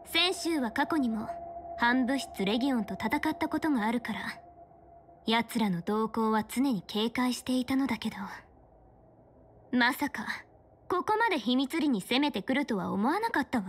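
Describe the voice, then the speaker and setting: An actress playing a stern young fortune-teller official in a game, speaking in a formal, measured, authoritative way. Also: female voice, fairly high pitch, expressive, husky. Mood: serious, measured. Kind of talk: scripted game character dialogue in Japanese dub.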